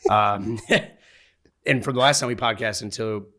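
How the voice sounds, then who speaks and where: A man's voice: lowish voice, measured pace, pushed and bright. A man, a podcast guest, talking in a rambling, anxious, self-deprecating way. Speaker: podcast guest, real estate agent. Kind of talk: casual podcast chat.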